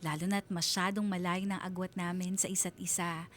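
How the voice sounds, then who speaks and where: A woman's voice: flat delivery, moderate pace, lightly acted. An actress with a Filipino accent playing a wistful woman of thirty confiding her love story as radio drama narration. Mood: uneasy, confiding. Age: 30s. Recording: faint rumbling background noise.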